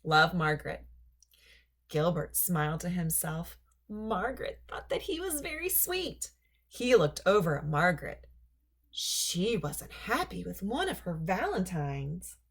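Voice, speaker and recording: A woman reading a children's story aloud in an expressive, deliberate storytelling manner. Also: on the low side, husky. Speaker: storybook reader.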